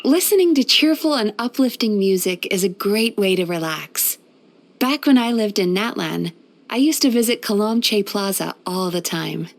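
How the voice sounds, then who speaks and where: A woman playing a gentle, caring female psychologist in a video game, speaking in a soothing, thoughtful, measured and softly playful manner. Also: mid-range voice, expressive, natural voice. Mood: warm, inviting. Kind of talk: scripted video game character voice lines.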